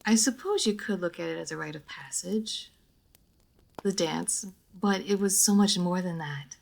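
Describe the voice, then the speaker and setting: A woman playing a refined, reserved vampire noblewoman in a roleplay audio drama, speaking formally with measured diction, hesitant pauses and dry playfulness. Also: on the low side, gravelly. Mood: wistful, reverent. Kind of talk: roleplay audio drama, intimate monologue to listener.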